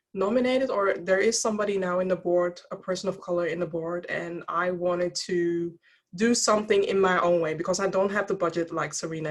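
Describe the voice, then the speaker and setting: A woman in her thirties whose first language is Dutch, speaking earnestly about her experiences as a guest on a video call interview, often restarting her sentences. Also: on the low side. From Netherlands.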